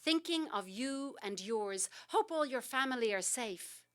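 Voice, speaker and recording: A woman poet reading her poems aloud and explaining them, soft and halting between lines and more expressive in the verse. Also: a little high. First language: English. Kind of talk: poetry reading on a talk show.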